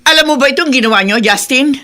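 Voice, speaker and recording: A woman's voice: high-pitched, fast talker, over loud music, natural voice. An actress playing a hot-tempered, middle-aged mother, berating sharply in a radio drama. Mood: scolding.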